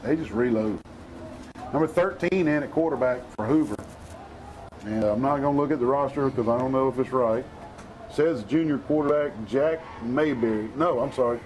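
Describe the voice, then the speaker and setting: A middle-aged man calling a local football game, folksy and excitable, his voice hoarse. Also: mid-range voice, normal speed, a little hiss or hum, poor audio. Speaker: play-by-play announcer.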